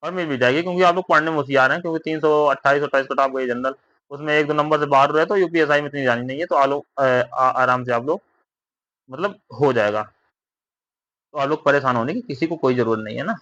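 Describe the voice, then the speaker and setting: Man, sharp forceful voice, dry dull recording, informal explainer, repetitive, tag questions. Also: fairly high pitch, brisk, dry recording. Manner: repetitive, with frequent tag questions seeking agreement. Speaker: exam update vlogger. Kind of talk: informal explainer addressed to exam candidates.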